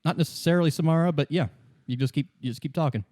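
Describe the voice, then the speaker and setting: Halting, informal male game master. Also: on the high side, darkish tone, no echo, studio quality. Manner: informal, halting, rephrasing as he goes. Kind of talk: casual improvised tabletop game narration.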